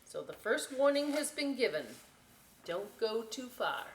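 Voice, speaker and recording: A woman reading a picture book aloud as a storytime host, enthusiastic and expressive, with dramatic emphasis and chatty asides. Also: fairly high pitch, unhurried. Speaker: library storytime reader. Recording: roomy echo, clear background noise.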